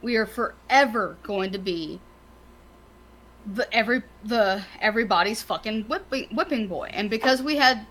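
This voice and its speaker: A woman's voice: on the high side, moderate pace, a bit bright, poor audio. A woman co-hosting a casual podcast, speaking bluntly and swearing a lot.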